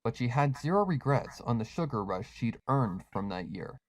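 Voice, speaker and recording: A man with a mid-pitched voice narrates a scripted horror tale. He reads as a storyteller, setting out scenes and characters in prose. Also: big pitch swings, normal speed, no echo.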